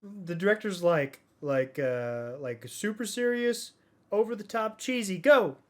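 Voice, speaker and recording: Higher-voiced man in casual, rambling chat. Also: lively intonation, measured pace, a little hiss or hum. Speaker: podcast host. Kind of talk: casual chat about movies.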